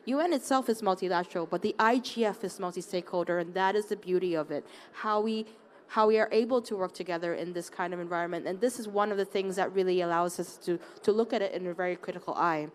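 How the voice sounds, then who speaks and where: A woman's voice: fairly low pitch, quick pace. A woman from Hong Kong speaking on a conference panel, earnest, often restarting and repeating words. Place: conference open area.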